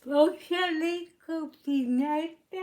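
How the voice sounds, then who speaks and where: A woman with a hoarse voice from illness, chatting casually to viewers of an embroidery vlog. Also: high-pitched, very slow, darkish tone. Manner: chatty, rambling greetings.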